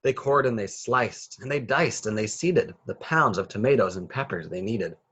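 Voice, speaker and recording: Low, husky man reading a picture book. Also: normal speed, a bit bright. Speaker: children's story reader. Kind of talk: read-aloud picture book narration.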